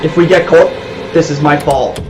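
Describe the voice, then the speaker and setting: A man giving live commentary on a horror game, muttering nervously to himself and breaking into excited bursts. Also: on the high side, moderate pace, over music, low-quality recording. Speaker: let's play host.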